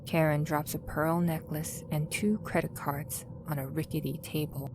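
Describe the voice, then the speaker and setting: A woman narrating a scripted audio drama in a measured storytelling manner. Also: sits low, steady tone, very noisy.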